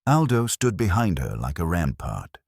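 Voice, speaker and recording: Very deep-voiced man narrating detective fiction. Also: big pitch swings, normal speed, a little mellow, breathy edge, no room sound, clean studio sound. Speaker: audiobook narrator. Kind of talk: scripted first-person detective story narration.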